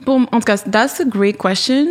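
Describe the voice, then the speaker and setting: A woman's voice: fairly high pitch, moderate pace. A woman, talking as a podcast guest in a reflective, hesitant way and mixing in English. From Montreal, Canada. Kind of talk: casual podcast chat.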